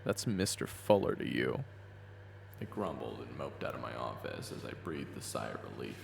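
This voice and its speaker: A man playing a weary boss with a buried temper in a dramatic audio roleplay, gravelly and brooding. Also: rumbling low, sing-song, normal speed, reverberant, background noise, exaggerated voice. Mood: firm. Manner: brooding when narrating, exasperated in dialogue. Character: weary reformed hot-tempered man, a soft-pushover boss. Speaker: narrator and lead character.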